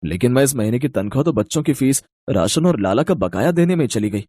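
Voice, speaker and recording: A man's voice: medium pitch, animated, brisk, mellow, no room sound, clean studio sound, natural voice. A man voicing humble, earnest working men in a cartoon family story, explaining himself plainly. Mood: pleading.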